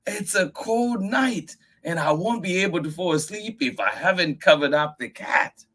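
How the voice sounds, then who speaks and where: A man's voice: sits high, animated, normal speed, fairly bright tone, slightly rough, voice pushed up, heavily acted. A man voicing an eager, busy little girl who keeps pleading politely to stay up.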